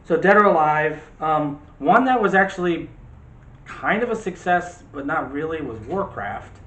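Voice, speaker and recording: A man's voice: fairly high pitch, expressive, a little slow, a bit bright, lo-fi sound. A man co-hosting a movie discussion in a casual, joking, conversational way. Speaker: co-host of a movie discussion show. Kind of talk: casual chat about movies. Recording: roomy echo with light background noise.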